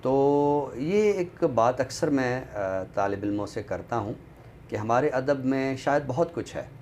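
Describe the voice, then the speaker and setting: A man with a Pakistani accent discussing books in a deliberate, scholarly way, often pausing mid-sentence. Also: mid-range voice, a little mellow. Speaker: literary show presenter. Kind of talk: book discussion in Urdu literary talk. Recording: noticeable background noise.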